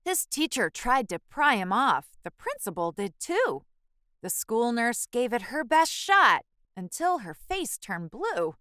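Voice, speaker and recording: A woman reading a children's picture book aloud in a measured, expressive storytelling manner. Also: fairly high pitch, smooth, no echo, clean studio sound. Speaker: children's book reader and channel host.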